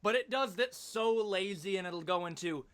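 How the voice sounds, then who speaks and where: A man's voice: high voice, moderate pace, a bit bright. A man giving casual, opinionated video commentary in an emphatic, slangy, conversational way.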